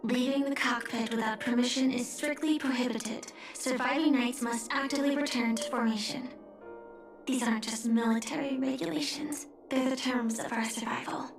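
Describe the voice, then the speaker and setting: A woman voicing a grieving but resolute young knight in a video game, solemn, restrained and softly breathy. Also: sits high, steady tone, normal speed. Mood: solemn, resigned. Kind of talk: dramatic video game voice acting. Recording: roomy echo, music underneath. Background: music.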